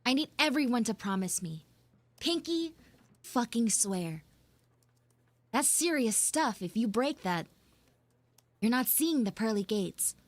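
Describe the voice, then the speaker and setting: A woman live streaming an audio roleplay as a bossy, secretive tsundere schoolgirl, halting and teasing, slipping into hushed asides. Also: a little high, sing-song, no echo. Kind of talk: live in-character audio roleplay with chat banter.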